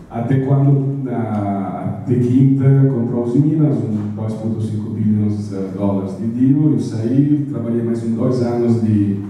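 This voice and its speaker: A man's voice: lowish voice, dark tone, a little hiss or hum. A middle-aged man with an Italian accent giving a conference talk on stage, hesitant with many filler sounds. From Italy. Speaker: speaker at a business event. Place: large auditorium with a stage. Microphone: handheld mic, far camera. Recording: echoey hall from far off.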